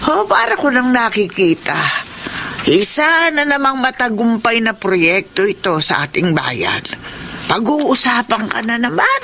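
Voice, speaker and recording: Bright, enthusiastic older mother. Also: on the high side, very animated, unhurried, a little hiss or hum, exaggerated voice. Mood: delighted, encouraging. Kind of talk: Filipino radio drama acting.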